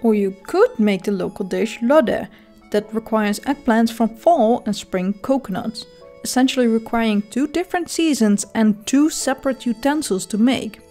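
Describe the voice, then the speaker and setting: A woman's voice: a little high, animated, normal speed, dark tone, faint music behind. A woman with a Dutch accent, a native Dutch speaker, narrating a scripted game guide with dry, self-mocking humor.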